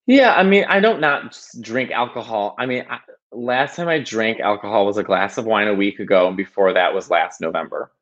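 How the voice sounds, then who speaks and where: Halting low-voiced man, lo-fi sound. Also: animated, a bit bright. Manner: halting, self-conscious, many restarts and likes. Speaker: podcast guest. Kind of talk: casual interview chat.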